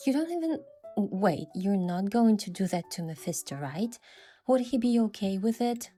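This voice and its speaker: A woman's voice: mid-range voice, lively intonation, normal speed, mellow. A woman giving scripted first-person story narration with acted dialogue. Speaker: narrator and lead character of a game event story. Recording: music behind.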